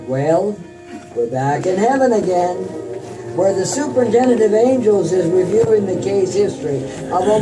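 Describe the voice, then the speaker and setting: An actress playing a genial, formal radio show host in 1940s style. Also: female voice, lowish voice. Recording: distant mic with music behind.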